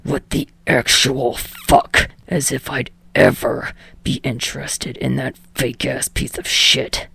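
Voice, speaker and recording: A woman voicing a gruff, foul-mouthed, angry young man in a low rough growl, seething through clenched teeth in a story reading. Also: fairly even intonation, takes it slowly, bright, forceful tone, dry recording, full character voice. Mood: seething anger.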